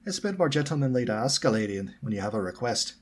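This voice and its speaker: A man's voice: mid-range voice, sing-song, quick pace, pitched above the performer's own voice. A man voicing a gallant, easygoing teenage schoolboy with an Irish accent, in a storybook reading. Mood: firm gallantry.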